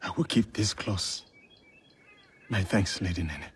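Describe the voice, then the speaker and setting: Husky, solemn samurai. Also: male voice, low voice, unhurried, exaggerated voice. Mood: grateful, moved. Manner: earnest, formal, solemn. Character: solemn, loyal samurai warrior in service to a lord. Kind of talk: dramatic video game acting.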